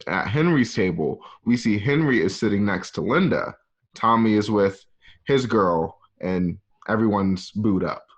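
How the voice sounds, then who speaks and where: A man's voice: on the low side, lively intonation, unhurried. A man narrating an amateur radio play, reading stage directions in a loose, conversational, ad-libbing way. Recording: dull lo-fi home connection.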